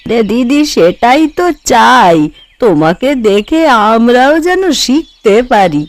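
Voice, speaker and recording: A woman voicing a chatty older neighbour in a cartoon, gossipy and emphatic, flattering and full of advice. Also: a little high, slow, no room sound, heavily acted. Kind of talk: Bengali cartoon voice acting. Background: background music.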